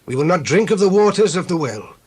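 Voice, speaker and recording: An ancient Israelite male messenger, acted by a man, petitions formally in a scripture drama. His voice is fairly high and rough-edged, with very lively intonation. He declaims each phrase. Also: a little fast, full character voice. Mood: earnest petition.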